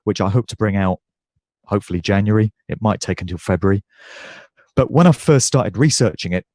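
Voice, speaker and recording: Low voiced guy with a stuffy croaky voice, giving a talk, dry close sound. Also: lively intonation, darkish tone, dry recording. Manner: fluent, measured speaking. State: congested and croaky. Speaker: guest speaker. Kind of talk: presentation. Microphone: video call.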